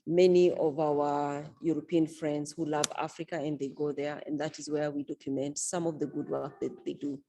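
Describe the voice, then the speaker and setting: A woman author and event organizer speaking at a book launch, in a measured, unhurried way with frequent fillers. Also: low-pitched, level tone, dark tone, slight background noise.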